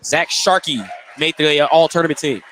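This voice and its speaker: A man's voice: a little high, fairly even intonation, moderate pace, a bit bright. A man hosting a live sports radio broadcast as a play-by-play announcer from a gym. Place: indoor school gymnasium. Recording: some background noise of a gymnasium.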